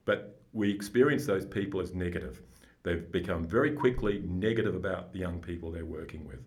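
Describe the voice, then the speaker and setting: A man with a booming voice, speaking as a psychologist guest, explaining things slowly and deliberately. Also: darkish tone. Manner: slow, deliberate, explanatory, with restarts. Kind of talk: calm, measured podcast conversation.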